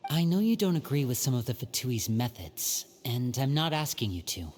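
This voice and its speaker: A man playing a composed, earnest young team leader in a video game, calm and articulate. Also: medium pitch, moderate pace, in a large hall, a little hiss or hum, lightly acted. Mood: earnest, firm. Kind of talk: video game character acting.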